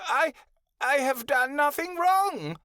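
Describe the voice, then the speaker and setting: A man's voice: very high-pitched, expressive, takes it slowly, pushed and bright, dry recording, clean studio sound, pitched above the performer's own voice, exaggerated voice. A man voicing a terrified elder male fairy who stammers and whimpers as he pleads.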